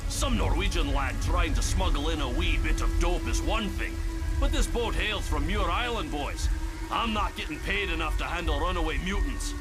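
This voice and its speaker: Man acting a grumbling policeman, animated. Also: on the high side, normal speed, loud music behind the voice, close to an everyday voice, poor audio. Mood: disgruntled. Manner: complaining, disgruntled. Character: grumbling, fed-up Scottish policeman.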